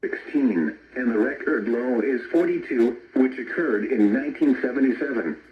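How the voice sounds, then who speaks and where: A man's voice: mid-range voice, normal speed. A synthetic-sounding man reading a scripted weather forecast, heard through a radio speaker. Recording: dull radio broadcast played through speaker, faint noise.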